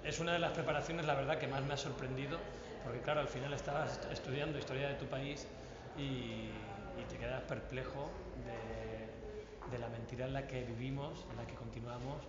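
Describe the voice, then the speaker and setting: Actor in his thirties, relaxed and chatty, muffled sound, echoey hall with a crowd behind. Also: medium pitch, moderate pace, hardly any pauses. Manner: relaxed, chatty, laughing now and then. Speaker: actor interviewed. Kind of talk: casual interview answers. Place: indoor room on a sofa.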